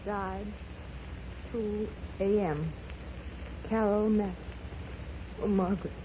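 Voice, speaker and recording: An actress playing a distraught young nurse in a 1930s radio drama. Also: female voice, mid-range voice, fairly even intonation, takes it slowly, natural voice. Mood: shaken, upset. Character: distraught young female nurse on a night shift. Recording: noisy muffled 1930s radio broadcast.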